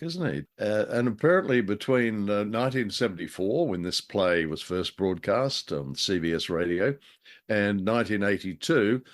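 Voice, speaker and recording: A middle-aged man co-hosting a show, chatting casually about stories in a wordy, wry, measured way. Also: lowish voice. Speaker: co-host of an old-time radio drama show. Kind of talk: casual chat about a story.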